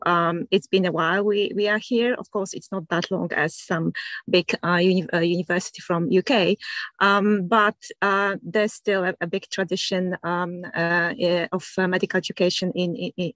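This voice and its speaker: A woman's voice: on the low side, fairly even intonation, normal speed, no echo. Hesitant woman presenting over video call. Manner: hesitant, many fillers and repeats. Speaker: university admissions officer. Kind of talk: presenting slides.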